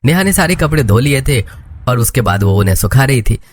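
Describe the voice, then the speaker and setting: A man's voice: mid-range voice, animated, a little fast, dry recording. A man reading scripted narration for a cartoon family story.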